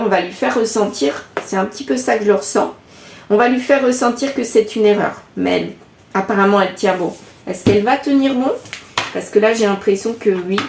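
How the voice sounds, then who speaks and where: A woman's voice: mid-range voice, noisy background. A woman, giving a chatty card reading with lots of rambling self-corrections. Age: 50s. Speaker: card reader. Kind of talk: casual tarot reading monologue. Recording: echoey room.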